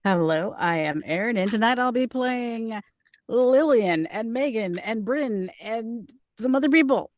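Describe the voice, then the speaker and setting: A woman's voice: on the high side, expressive, a little slow, no echo, dull audio. A woman cast member giving a casual self-introduction.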